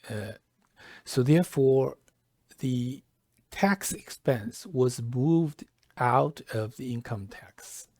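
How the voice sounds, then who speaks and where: A man presenting a software tutorial step by step, halting and deliberate, often pausing mid-phrase. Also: mid-range voice, no echo. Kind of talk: step-by-step tutorial narration, lightly scripted.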